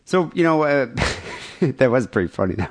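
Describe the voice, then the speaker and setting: A male podcast host with a mid-pitched, very lively voice. He banters casually and irreverently, slipping into mock apology as he moves the show along. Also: moderate pace.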